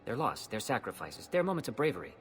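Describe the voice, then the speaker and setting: An actor playing an eager, earnest documentary filmmaker in a video game, pleading and persuasive. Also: male voice, mid-range voice, very animated, fast, long reverb tail, faint music behind.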